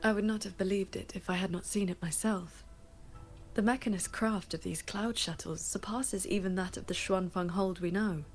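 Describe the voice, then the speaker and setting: An actress playing a calm, solemn female sword mentor in a game, speaking formally and with measured gravity. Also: mid-range voice, lightly acted. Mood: composed. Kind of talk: scripted game voice acting. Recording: music under the voice.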